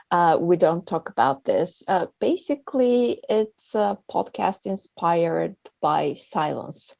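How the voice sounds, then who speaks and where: A woman hosting an online event, introducing things in a halting, hesitant way with many pauses. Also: medium pitch, creaky, mellow, no echo. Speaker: host of a festival talk. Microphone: video call. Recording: dull, band-limited online call sound.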